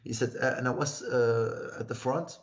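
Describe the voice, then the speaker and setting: A man in his thirties from Belgium, telling his life story as a livestream guest in a halting way, searching for words. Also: mid-range voice, fairly even intonation, a little hiss or hum. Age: 30s. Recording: muffled lo-fi livestream audio.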